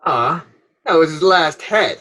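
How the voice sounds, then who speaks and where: Man voicing a high, husky furry beast. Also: lively intonation, slow pace, sharp tone, exaggerated voice. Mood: resigned, impressed. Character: furry roaring beast, a matter-of-fact fellow prisoner. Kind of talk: irreverent radio play acting.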